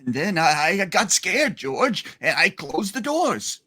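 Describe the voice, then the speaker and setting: A flustered older uncle acted by a man in a radio play, hapless and panicky. He speaks haltingly in a bright, tense voice. It sits fairly high and jumps about. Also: big pitch swings, breathy edge, full character voice. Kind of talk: hammed-up live radio play reading.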